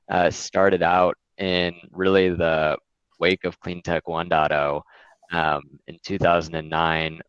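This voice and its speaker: A man presenting his investment firm in a relaxed webinar talk, with frequent fillers. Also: deep voice, clean tone, no room sound, lo-fi sound.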